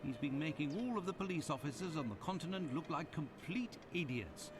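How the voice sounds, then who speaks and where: An actor playing a fussy, talkative doctor companion in a video game. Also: male voice, a little high, expressive, brisk, background noise, lightly acted. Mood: chatty. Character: chatty loyal male doctor companion. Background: game music.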